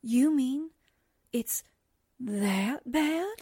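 A woman plays a cheeky young assistant in an old-time radio mystery. Her high voice is extremely breathy and whispery, swooping in pitch. She speaks slowly and conspiratorially in a dry sound. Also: animated, mellow, no room sound, exaggerated voice. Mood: hushed curiosity. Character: cheeky young female reporter's assistant, blurting suspicions. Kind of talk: 1940s radio drama acting.

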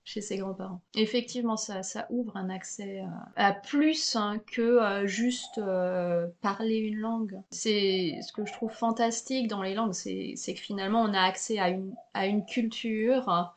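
A middle-aged French woman hosting a relaxed interview, talking with many hesitations and restarts. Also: mid-range voice, unhurried, few pauses. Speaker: podcast host. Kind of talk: casual conversational interview.

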